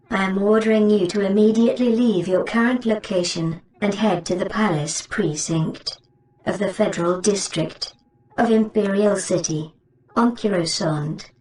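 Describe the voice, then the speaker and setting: A woman's voice: medium pitch, measured pace, darkish tone, a little hiss or hum, natural voice. A woman voicing a haughty, menacing droid master giving commands in a comic sci-fi radio drama, in a roomy, distant recording. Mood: imperious.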